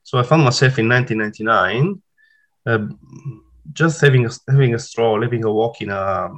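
A man in his forties from Italy with an Italian accent, speaking as an interview guest in a relaxed, hesitant way with many fillers and restarts. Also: medium pitch, fairly quick. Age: 40s. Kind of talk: casual interview talk.

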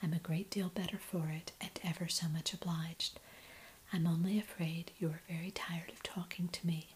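A woman voicing a polite, lively teenage boy in a soft whisper. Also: on the low side, normal speed, a little hiss or hum, natural voice, poor audio. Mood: eager whisper.

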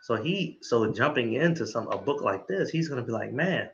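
A man's voice: mid-range voice, expressive, normal speed, lo-fi sound, slight background noise. A man hosting an interview, talking with enthusiasm and tagging "right?" onto his sentences. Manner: enthusiastic, keeps adding "right?". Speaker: interview host. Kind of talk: casual interview chat.

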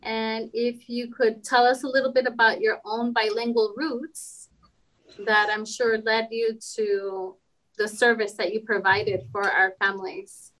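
A woman's voice: on the high side, little pitch movement, unhurried, a bit bright, rough recording. A woman interviewer welcoming guests enthusiastically and asking them questions. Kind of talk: warm podcast interview.

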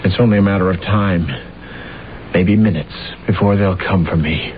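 An actor playing an ambitious, delusional jailed assassin in a radio drama, grandiose, theatrical and fervent. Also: male voice, sits low, steady tone, moderate pace, a little hiss or hum, lightly acted. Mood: fervent, defiant. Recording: tinny band-limited old radio broadcast, music behind.

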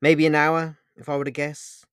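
A man's voice: on the high side, little pitch movement, moderate pace, smooth, no room sound, clean studio sound, voice pushed up, close to an everyday voice. A man voicing a woman in love in a romance audiobook, stammering and repeating her words. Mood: playful. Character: playful, apologetic woman in love, Olivia. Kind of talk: dramatised romance audiobook dialogue.

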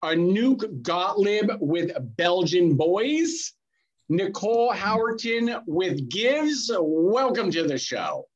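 Podcast host guy, kinda high voice, rambles and jokes around. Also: very animated, slow, muffled recording. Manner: rambling, joking, lots of fillers. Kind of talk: podcast interview.

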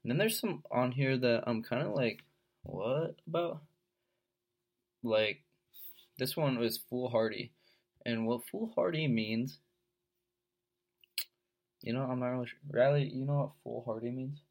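Stuffed-up young man with a gravelly voice. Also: mid-range voice, a little slow, long pauses. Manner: rambling, filler-heavy, laid-back. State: stuffed-up head cold, sore throat. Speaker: podcast host. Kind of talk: casual solo podcast chat.

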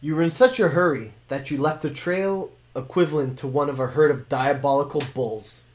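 A man's voice: fairly high pitch, moderate pace, natural voice. Man voicing a wry talking sword. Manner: formal, wry, commanding. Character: talking sword, former male blade master, wry.

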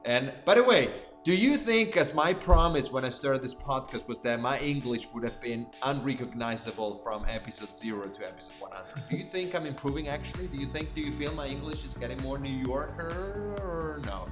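A man with an Italian accent co-hosting a casual podcast, talking fast and joking about his own English. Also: medium pitch, expressive. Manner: quick, playful, self-mocking. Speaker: podcast co-host. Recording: echoey room with faint music.

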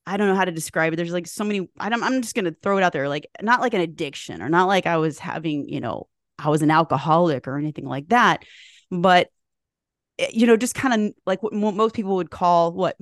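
A woman with a lowish voice hosts a casual podcast chat, rambling in a friendly, talkative way. Her voice is recorded close and dry, with no room sound. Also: dry recording. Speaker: podcast host.

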